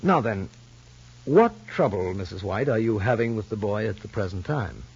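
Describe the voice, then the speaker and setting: An actor playing a formal child psychologist in a radio soap opera, probing gently and deliberately. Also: male voice, fairly low pitch, animated, slightly rough. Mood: calm, probing. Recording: muffled old radio recording.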